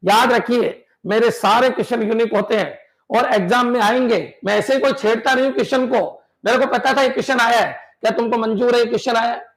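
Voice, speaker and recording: A man teaching a computer science exam class, repeating points and quizzing his students with "clear?" as he drills them. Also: very high-pitched, steady tone, fast talker, a bit bright, poor audio. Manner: emphatic, pushes exam tips and motivation.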